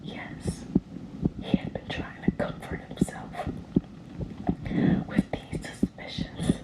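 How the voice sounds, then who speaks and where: Woman doing a slow creepy vampire whisper, asmr horror story, echoey, dramatic pauses. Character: vampire reading a sinister, gleeful horror tale.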